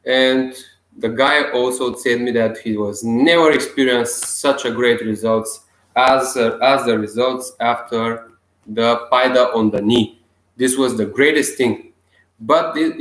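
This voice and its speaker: A young man from Bulgaria with a Bulgarian accent, talking casually to camera in halting English with many restarts and chuckles. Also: mid-range voice, a bit bright, reverberant, rough recording. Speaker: self-healing vlogger.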